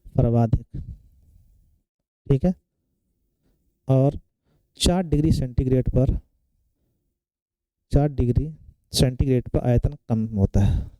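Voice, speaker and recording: A man's voice: fairly high pitch, mellow, clear, resonant tone, dry recording, low-quality recording. A man giving an exam-prep science lecture, explaining step by step and often checking in with short tag questions. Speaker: science teacher for competitive exam students. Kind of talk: classroom lecture working through exam questions.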